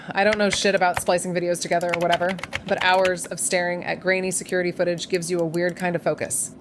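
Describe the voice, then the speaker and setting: Actress playing a low-voiced, sardonic young female sleuth. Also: slight background noise, natural voice. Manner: sweary, wry, defiant. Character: sleepless, sardonic, obsessive young woman amateur detective. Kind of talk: first-person audio drama narration and dialogue.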